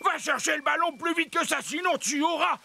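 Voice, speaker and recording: An actor voicing a spoiled little boy king in a French children's cartoon, imperiously shouting orders over music. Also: sits high, a little fast, vocal fry, a little hiss or hum, exaggerated voice. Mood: bossy. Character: bossy spoiled little boy king, tantrum-prone tyrant. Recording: music behind the voice.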